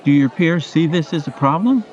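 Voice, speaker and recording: A man's voice: on the high side, animated, moderate pace, lo-fi sound, a faint crowd, natural voice. A man playing a supportive, pragmatic friend in a radio play, questioning and advising conversationally. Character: Jerry, supportive, pragmatic male friend giving advice.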